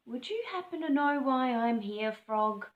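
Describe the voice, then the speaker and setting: A woman's voice: sits high, expressive, a little slow, roomy sound, phone-quality sound, pitched above the performer's own voice. A woman voicing a big, clumsy male flightless bird who sounds plaintive and glum.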